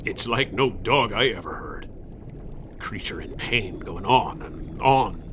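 A man's voice: lowish voice, expressive, slow pace, background noise. A man playing a suspicious, gossipy old colonial farmer in a radio drama, speaking emphatically and conspiratorially. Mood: ominous, suspicious. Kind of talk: radio drama acting in period speech.

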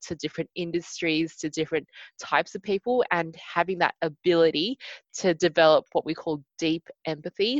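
A woman's voice: lowish voice, no room sound, lo-fi sound. A woman speaking as a guest on a podcast over a video call, chatty and full of "you know" fillers. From Australia. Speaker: podcast guest, hackathon organiser.

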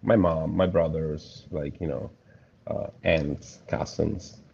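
Man from Argentina, very low voice, mellow tone, muffled lo-fi sound, relaxed casual talk. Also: slow pace, vocal fry, slightly rough. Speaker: interview guest. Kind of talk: casual conversation.

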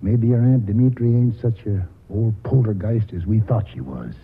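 An actor playing a stubborn, folksy old grandfather in a 1940s radio drama, with a husky voice and halting rural speech. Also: fairly low pitch. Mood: tender, sorrowful. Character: stubborn, folksy old grandfather, around seventy, wily and tender. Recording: 1940s radio broadcast, muffled, reverberant hall.

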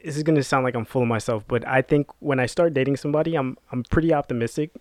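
A man's voice: medium pitch, fast talker, no echo. A man co-hosting a casual podcast, talking in a laid-back way and laughing often. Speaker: podcast co-host.

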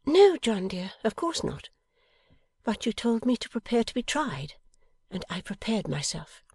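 A devoted young wife in a storybook reading , acted out by a man. The voice sits high and turns breathy with feeling. Every phrase is tender, earnest and fiercely loyal, recorded close and dry. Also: big pitch swings, measured pace, a little mellow, no room sound, exaggerated voice.

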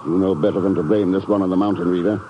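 An actor playing a calm, decisive secret agent in a radio drama, terse and dry in manner. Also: male voice, sits low, racing, lightly acted. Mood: skeptical, pointed. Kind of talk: 1950s radio drama acting. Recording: muffled, very noisy 1950s radio recording.

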